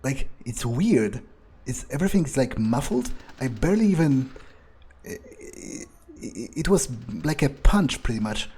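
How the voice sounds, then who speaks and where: A jaded new vampire with a criminal streak improvised by a male player in a game roleplay. He mutters in a husky, mid-pitched voice, halting, sardonic and foul-mouthed. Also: lively intonation, a little mellow. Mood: dazed musing.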